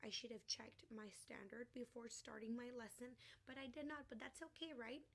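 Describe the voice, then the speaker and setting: A young woman leads an online class for small children in a bright, slightly high voice. Her voice is going hoarse, and she keeps a sing-song, coaxing, encouraging tone throughout. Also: fairly even intonation, normal speed. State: losing her voice. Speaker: kindergarten teacher.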